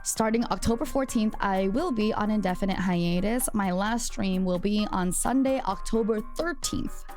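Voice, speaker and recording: A woman's voice: mid-range voice, normal speed, hardly any pauses, a little mellow, faint music behind. A woman streaming live, chatting casually and playfully, swearing freely as she talks to her viewers. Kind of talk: casual livestream chat.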